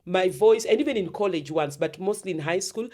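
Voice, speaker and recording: A woman host with a deep, heavy voice, chatting at length in a casual, storytelling way. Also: fairly quick, a little mellow. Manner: talkative, storytelling, mixes in Swahili words. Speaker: podcast host and therapist. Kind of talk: casual conversational interview.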